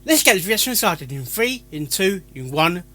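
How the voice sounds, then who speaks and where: A man hosting a reaction, giving casual, excitable commentary with playful repeated interjections. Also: sits high, moderate pace, soft background music. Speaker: reaction host. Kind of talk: excited casual commentary over a fan fiction reading.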